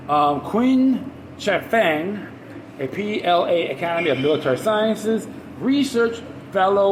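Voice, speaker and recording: A man hosting a podcast, reading news articles aloud with sarcastic asides and stumbling over words. He has a high-pitched voice. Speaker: podcast host. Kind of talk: ranting commentary while reading news articles. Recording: echoey room with background noise.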